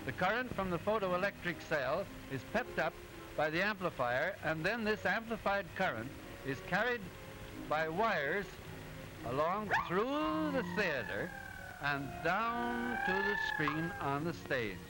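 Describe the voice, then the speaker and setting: A man's voice: high voice, sing-song, noisy background. A man playing a genial professor who narrates an educational 1920s cartoon in a didactic, precise way. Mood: explaining. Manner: didactic, precise, measured explaining. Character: genial male professor explaining machines in a cartoon. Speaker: narrator, lecturer. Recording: old 1920s film soundtrack, music behind.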